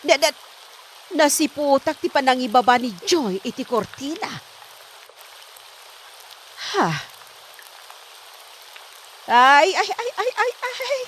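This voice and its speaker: A jealous middle-aged Ilocano wife played by an actress grumbles and scolds slowly in a highish, very animated voice. Comedy radio drama, with faint noise behind. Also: female voice, exaggerated voice. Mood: suspicious.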